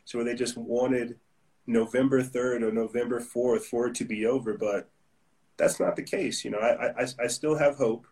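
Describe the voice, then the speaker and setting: A man's voice: mid-range voice, steady tone, normal speed, darkish tone, far from the mic. A man hosts a casual interview, asking long, rambling questions in an upbeat tone. His sentences often restart. He sits a little back from the microphone. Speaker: host.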